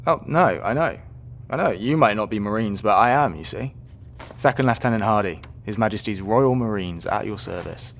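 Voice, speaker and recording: A Royal Marines lieutenant in an audio drama, with a fairly low voice. He is breezy and courteous, with a wry, confident way of explaining things. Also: animated, normal speed, gravelly, a bit bright, slight background noise.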